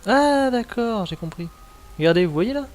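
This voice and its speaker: A man's voice: high voice, lively intonation, normal speed, over loud music. A man giving casual, slangy, mildly sweary commentary as a video game streamer. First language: French.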